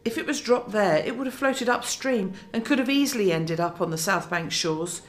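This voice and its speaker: A woman's voice: mid-range voice, moderate pace, close to an everyday voice. A woman playing a determined, earnest news reporter in a radio drama, with emphatic delivery. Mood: concerned.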